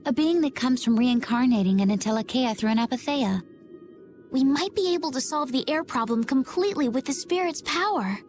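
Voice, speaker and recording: Game role: composed young warrior woman, fairly high voice, calm, articulate, faint music. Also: a little fast. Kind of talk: video game cutscene acting.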